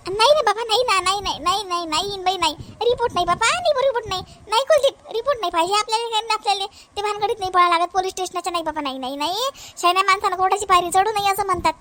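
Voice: very high voice, racing, pushed and bright. A cartoon voice playing an emphatic, quarrelsome village housewife in a Varhadi Marathi accent from Vidarbha, repeating words in comedy dialogue. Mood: pleading. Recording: noticeable background noise.

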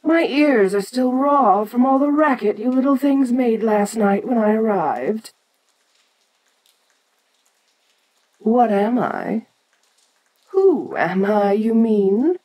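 Woman acting as female giant monster queen, audio roleplay, domineering, haughty, condescending, unhurried delivery, very expressive intonation, medium pitch. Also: poor audio. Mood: weary, irritated. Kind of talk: scripted audio roleplay addressed to the listener.

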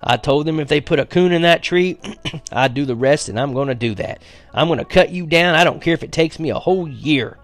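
A man's voice: medium pitch, normal speed, gravelly, soft background music, voice pushed up, natural voice. A man reading aloud and voicing a determined young farm boy who pleads earnestly. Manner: earnest, pleading, raises voice when insistent.

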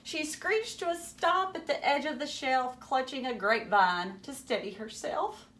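A woman in her thirties giving an animated, dramatic picture-book read-aloud with teacherly asides in a room at home. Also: sits high, measured pace, a little hiss or hum.

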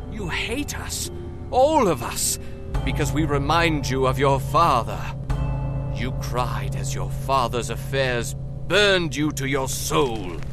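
Vengeful male doll acted by a man, scornful and unforgiving, animated pitch, slow pace, radio horror. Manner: scornful, drawn-out theatrical delivery. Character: accusing, unforgiving male doll seeking revenge. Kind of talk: old-time radio horror acting. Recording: loud music under the voice.

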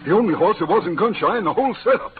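A man's voice: high voice, animated, fast talker. An actor playing a loyal, puzzled cowboy hired hand in an old radio western, folksy and deferential to his boss. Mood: uneasy, wondering. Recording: tinny, noisy old radio recording.

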